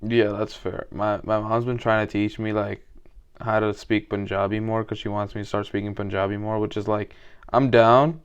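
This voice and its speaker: A man's voice: fairly low pitch, flat delivery, smooth, highs cut off. A young man co-hosting a casual podcast, telling long rambling stories full of fillers. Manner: rambling, drawn-out storytelling with many fillers. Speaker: podcast co-host. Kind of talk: casual chat.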